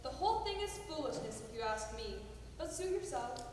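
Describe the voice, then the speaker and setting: An actress on stage playing a no-nonsense housekeeper who speaks bluntly and doubtfully. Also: female voice, high-pitched, sing-song, a little fast, a little mellow. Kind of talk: amateur stage acting. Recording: echoey hall from far off, noisy.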